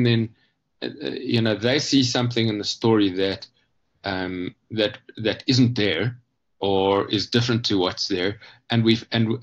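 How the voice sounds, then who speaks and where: A man explaining his ideas conversationally as an interview guest, thoughtful and halting, often pausing mid-phrase to rephrase. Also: fairly low pitch, fairly bright tone, dull audio. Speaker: guest, children's book author.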